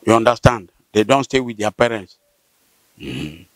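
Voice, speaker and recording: Low-voiced older Nigerian man, close and dry. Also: lively intonation, normal speed, dry recording. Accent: southern Nigerian. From Rivers State, southern Nigeria. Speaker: interviewee, local elder. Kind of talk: conversational oral history. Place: outdoors, seated by corrugated metal fence. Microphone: handheld interview mic held by host.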